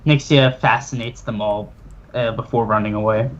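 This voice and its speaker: A man's voice: lowish voice, steady tone, moderate pace. A man chatting casually as a player in a tabletop roleplaying game, on a lo-fi microphone. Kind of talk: casual group roleplaying chat. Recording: dull lo-fi mic, faint noise.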